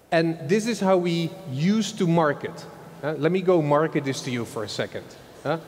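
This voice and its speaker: A man's voice: fairly high pitch, a little mellow. Dutch-accented man speaking in a reverberant hall. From Netherlands. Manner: loose, chatty, tags lines with "huh?". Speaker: marketing executive giving a conference talk. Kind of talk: conference presentation. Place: large old event hall. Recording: long hall reverb, faint background noise.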